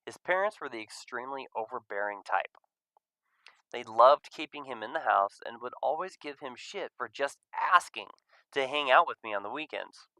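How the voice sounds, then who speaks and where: A man reads first-person visual novel narration. His delivery is expressive with a lively range, and the voice is clean and resonant in a very dry recording. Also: mid-range voice, moderate pace, dry recording, low-quality recording.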